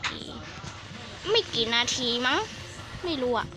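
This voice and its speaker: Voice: a little slow, over music. A young-sounding video host giving playful Minecraft play-along commentary, chattering and humming to themselves in a sing-song way. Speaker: gaming channel host.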